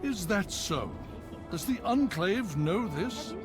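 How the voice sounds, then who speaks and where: An actor playing a grave, paternal elder leader in a video game, measured, consoling and authoritative. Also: male voice, high-pitched, sing-song, heavily acted. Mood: firm, questioning. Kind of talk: dramatic video game acting. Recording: music behind the voice.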